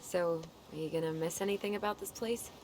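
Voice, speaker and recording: A woman's voice: fairly low pitch, fairly quick, darkish tone, close to an everyday voice, poor audio. A woman acting a friendly, supportive young American woman with a playful, casual manner in naturalistic video game dialogue. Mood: supportive, teasing. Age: young adult. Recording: game stream with clear background noise.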